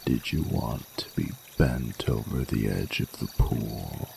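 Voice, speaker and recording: A man playing an ancient dragon king in a romantic audio roleplay, tender and flirtatious, with formal, courtly phrasing and a gravelly voice. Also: very deep voice, takes it slowly, full character voice. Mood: teasing, sensual. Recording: noticeable noise, running water sounds.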